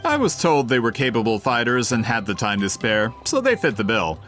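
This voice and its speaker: A man's voice: medium pitch, huge pitch swings, a little fast, fairly bright tone, soft background music. An actor playing a polite, eager book merchant with a theatrical salesman's manner in a video game.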